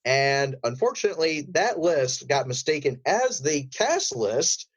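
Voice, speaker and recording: A man's voice: on the high side, big pitch swings, unhurried, breathy edge, no echo. A man who works as a voice actor, talking casually as a guest in an interview.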